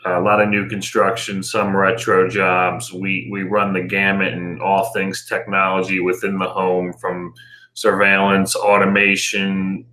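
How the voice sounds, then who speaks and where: A man's voice: low voice, pushed and bright, rough recording. A middle-aged American man giving conversational answers as an interview guest and business owner.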